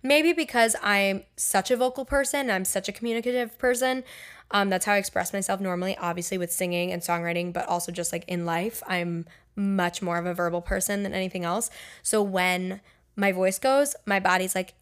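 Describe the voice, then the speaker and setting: A young woman talks through a casual solo podcast in a chatty, candid way. Her speech is loose and full of "like" and "um". Her voice sits at an ordinary middle pitch. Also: fairly quick, clean tone. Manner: chatty, filler-heavy with frequent "like" and "um"; candid and personal. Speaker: podcast host and songwriter.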